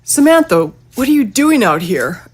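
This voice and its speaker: An actress playing a gentle, evasive, ailing mother in an audio drama, soothing and hesitant. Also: fairly high pitch, very animated, moderate pace. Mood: gentle, reassuring. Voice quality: sickly, frequent coughing and throat clearing.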